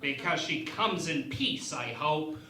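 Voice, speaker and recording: A piercingly sharp, imperious alien ambassador played by an actor in a sci-fi parody radio play. The delivery is haughty and sarcastic, swinging widely in pitch, in a roomy recording. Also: expressive, slow. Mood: dry, wary. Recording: roomy, faint background noise.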